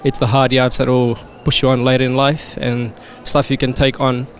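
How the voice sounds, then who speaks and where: A man's voice: medium pitch, level tone, normal speed. A young man, a new graduate, giving informal advice into a handheld microphone. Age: young adult. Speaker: graduating student.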